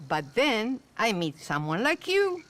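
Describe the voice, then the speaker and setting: A compassionate older nun in a game drama. She speaks slowly and reflectively in a fairly high voice that rises and falls expressively. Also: big pitch swings, fairly bright tone. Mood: gentle, reassuring. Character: gentle, wise, compassionate older widowed nun. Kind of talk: dramatic video game acting.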